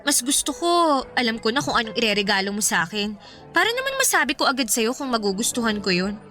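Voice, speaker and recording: A woman's voice: fairly high pitch, very animated, fast, pushed and bright. A woman playing a vain, demanding young girlfriend in a Filipino radio drama, with coy, whiny wheedling. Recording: music behind the voice.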